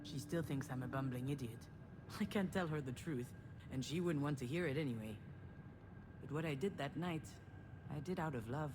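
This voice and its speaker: A woman's voice: extremely low pitch, moderate pace, background noise. A woman playing a wry, self-deprecating, guilt-ridden sister who confides in a conversational way in a narrative game. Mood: brooding, confessional. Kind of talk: introspective first-person narration and dialogue in a narrative game.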